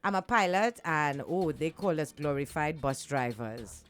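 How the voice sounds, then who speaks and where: A woman with a Trinidadian accent co-hosting a morning radio show, outspoken and arguing her point loudly. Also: sits low, expressive, unhurried, soft background music. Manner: outspoken, laughs often, argues loudly. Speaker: radio co-host.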